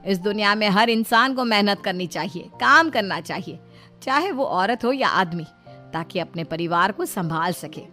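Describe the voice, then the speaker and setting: A woman's voice: medium pitch, lively intonation, normal speed, sharp tone. Woman doing shrewd middle aged mother-in-law in a moral story, kinda plaintive, music under it. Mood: instructive. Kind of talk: acted moral-story dialogue.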